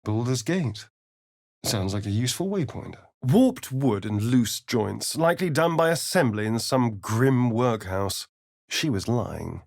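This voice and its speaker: An actor playing a sly, sardonic vampire rogue in a video game, with a theatrical, arch, drawling delivery. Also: male voice, mid-range voice, lively intonation, unhurried, gravelly, darkish tone. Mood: cool, disdainful.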